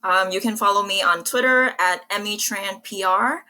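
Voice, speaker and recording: A woman's voice: medium pitch, normal speed, bright, forceful tone, low-quality recording. A young woman from Vietnam answering interview questions in an earnest, articulate, conversational way. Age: young adult. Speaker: interview guest, public relations professional.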